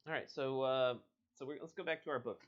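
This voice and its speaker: A man hosting a livestream storybook read-aloud, animated and joking, with casual self-deprecating asides. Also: mid-range voice, brisk, smooth, lo-fi sound. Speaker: host reading a book aloud on a livestream.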